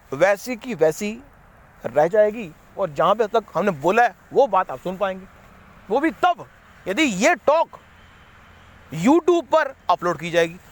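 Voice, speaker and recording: Guy with a high voice rambling thru a spiritual talk, repeats himself a lot. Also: fairly bright tone. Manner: rambling, repetitive, mixes English words. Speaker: spiritual speaker. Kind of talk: unscripted motivational talk.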